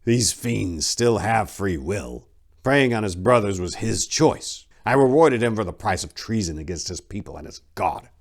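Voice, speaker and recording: A man's voice: lowish voice, lively intonation, normal speed. A man playing a weary, hard-bitten vampire-hunting warrior monk, grim and terse, in a fantasy story. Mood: bitter.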